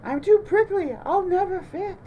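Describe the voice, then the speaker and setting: A prickly, anxious storybook porcupine, voiced by a woman, pitched up high. He is fretful and full of wondering, heard over some background noise. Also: moderate pace, dark tone, highs cut off. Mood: worried.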